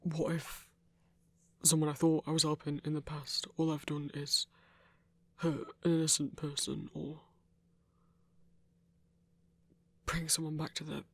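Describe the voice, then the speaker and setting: A performer playing a gentle, awkward male werewolf hunter in an intimate audio roleplay, speaking haltingly and apologetically with earnest remorse. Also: mid-range voice, little pitch movement, slightly rough, no echo. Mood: remorseful. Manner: hesitant, halting, apologetic, stammering.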